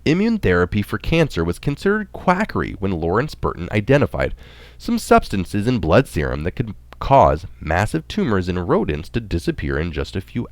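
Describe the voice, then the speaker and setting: A man reading a scripted health article aloud as narration, in a deliberate, explanatory manner. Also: on the low side, no room sound.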